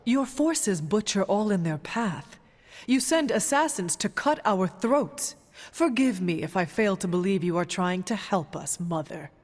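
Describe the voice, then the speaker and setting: A woman's voice: mid-range voice, lively intonation, a little slow, darkish tone. A woman playing a stern, guarded warrior hero in a video game, speaking formally and without contractions. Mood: reproachful. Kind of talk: restrained video game acting. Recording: voice under clear background music.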